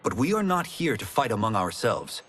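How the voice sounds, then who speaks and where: A man's voice: lowish voice, very animated, moderate pace, slight background noise. An actor playing a humble, determined young farm boy fighter in a video game, sincere and formal. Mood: earnest. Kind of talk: video game cinematic acting. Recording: loud music behind the voice.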